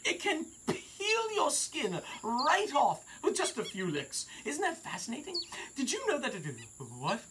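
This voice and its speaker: A man's voice: very high voice, huge pitch swings, measured pace, noisy background, heavily acted. A middle-aged man playing a fussy, theatrical host of a children's show, with a mock-genteel, dramatic manner. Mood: genteel, playful. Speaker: storytime host. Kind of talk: comic presenting to children.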